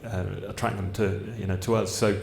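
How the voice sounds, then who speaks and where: A low-voiced man answers a question on a panel, hesitant and pausing on fillers. The sound is roomy, with faint noise underneath. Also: little pitch movement, vocal fry, a little mellow. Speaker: commercial director on a panel. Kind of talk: panel answer at a fans' forum.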